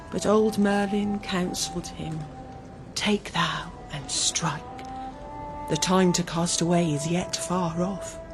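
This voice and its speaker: An actress playing a noble queen who recounts wonders in stately, reverent verse for a radio drama. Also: lowish voice.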